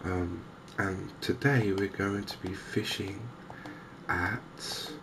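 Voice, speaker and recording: A man giving softly spoken, gentle ASMR game commentary in an unhurried, hesitant way. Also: low-pitched, flat delivery, gravelly, noisy background. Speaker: host of a cozy gaming channel.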